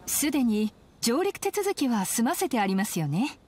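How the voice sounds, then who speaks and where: A woman's voice: on the high side, very animated, moderate pace, darkish tone, breathy edge. A woman voicing a polite, businesslike female clerk in an anime game, speaking formally and courteously.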